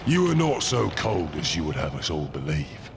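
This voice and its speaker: A man playing an old, reverent Maker in a video game, kindly, with a deep gravelly voice. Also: wildly swooping pitch, moderate pace, background noise, heavily acted. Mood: reverent, warm. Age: older. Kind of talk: dramatic video game acting.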